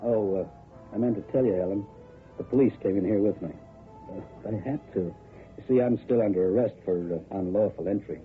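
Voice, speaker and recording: An actor playing a tough, sardonic private detective in an old-time radio drama, wry, methodical and slangy. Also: male voice, fairly low pitch, a little fast, natural voice. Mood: dryly casual. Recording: tinny old radio recording, music behind.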